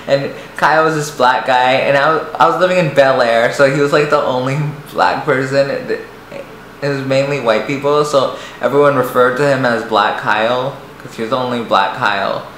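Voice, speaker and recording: Soft-voiced young man telling his story. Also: medium pitch, steady tone, normal speed. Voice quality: light, soft voice. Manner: hesitant, rambling, many restarts and fillers. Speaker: vlogger. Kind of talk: personal storytelling to camera. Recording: echoey room, faint noise.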